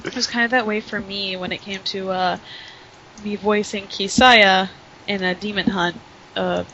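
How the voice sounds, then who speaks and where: A woman's voice: mid-range voice, fairly even intonation, normal speed, pushed and bright, muffled recording, slight background noise. A woman voice actor chatting casually on a podcast, giggling and full of fillers. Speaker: podcast guest, voice actress. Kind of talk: casual chat.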